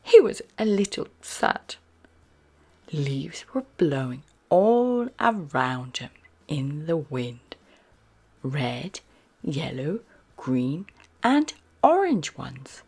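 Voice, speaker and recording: A woman narrating a children's picture book aloud in a slow, soft, very expressive way. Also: sits low, husky. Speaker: storyteller.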